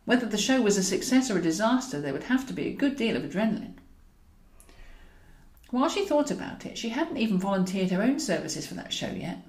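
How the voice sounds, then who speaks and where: A woman reading a comic romantic novel aloud in an expressive storytelling style, as the author of the book. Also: mid-range voice, a little fast, roomy sound. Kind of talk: expressive audiobook narration of comic romantic fiction.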